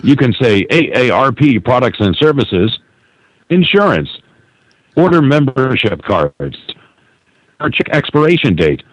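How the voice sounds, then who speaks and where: A man delivers scripted phone menu and hold messages with animated intonation. His mid-pitched voice is dry and comes over a telephone line. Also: measured pace, no echo. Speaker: automated phone system announcer.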